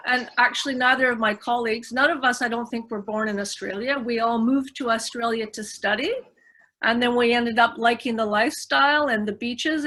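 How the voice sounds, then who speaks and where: A woman's voice: on the high side, pushed and bright, rough recording. A woman professor with a Canadian accent giving an online lecture over a video call, upbeat, chatty and personal. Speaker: professor, guest speaker. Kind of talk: lecture presentation.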